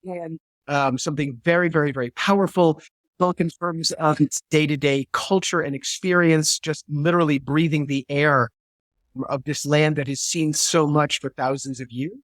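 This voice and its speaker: Guest speaker, man, 50s, slightly high pitch, thoughtful and searching, video-call mic. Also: steady tone, normal speed, a bit bright, no echo. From New Hampshire, USA. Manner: thoughtful, repeats words as he searches for phrasing. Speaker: guest historian and theologian. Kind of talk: reflective interview answer.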